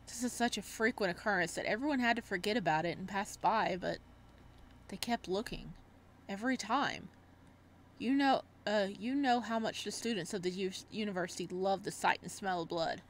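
A woman streamer reading a visual novel aloud in an expressive way, breaking off for casual reactions. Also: medium pitch, moderate pace, a little hiss or hum. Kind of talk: let's-play read-aloud with casual reactions.

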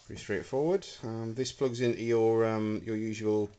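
A man's voice: lowish voice, fairly even intonation, normal speed, dark tone, smooth, lo-fi sound. Hesitant man demoing gadgets. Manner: hesitant, many ums, talking while handling gear. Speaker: hobbyist prop maker. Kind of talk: casual product demonstration. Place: indoor room with a wooden floor. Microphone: handheld camera mic.

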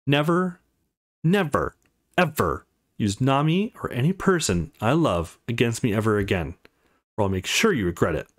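A man voicing an intense, protective young man in a visual novel, earnest and forceful. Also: medium pitch, big pitch swings, normal speed. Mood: stern, threatening.

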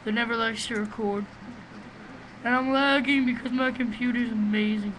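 A man with a small, high voice giving rambling, profane, excitable commentary while playing a game, recorded on a webcam microphone. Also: normal speed. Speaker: gamer doing a let's play. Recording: noisy home recording.

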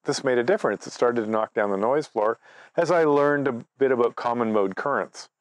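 A man's voice: medium pitch, fairly quick, no echo. A man, giving an informal presentation as a conversational, anecdotal storyteller. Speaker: amateur radio presenter. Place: outdoors by trees at a cottage.

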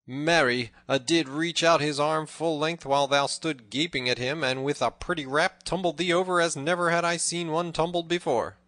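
A man's voice: medium pitch, lively intonation, normal speed, a bit bright, no room sound, lightly acted. A man voicing a bold, merry, teasing outlaw leader with exuberant, old-fashioned storybook speech. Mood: mirthful, teasing. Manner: exuberant, mirthful archaic speech.

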